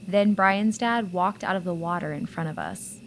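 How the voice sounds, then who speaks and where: A woman narrating an audio drama in a calm storytelling voice. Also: fairly low pitch, moderate pace, a little hiss or hum. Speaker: radio play narrator. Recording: narration under loud music.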